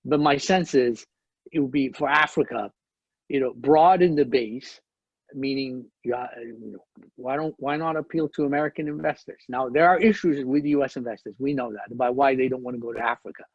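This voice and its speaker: A man from Ethiopia, a conference panelist, explaining his points in a measured way with frequent restarts. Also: mid-range voice, husky, no room sound, highs cut off. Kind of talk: panel discussion.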